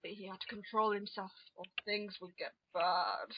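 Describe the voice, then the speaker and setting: A woman's voice: medium pitch, fairly even intonation, moderate pace, noisy background. A woman narrating an amateur dramatic reading of comic fan fiction, on a muffled home recording.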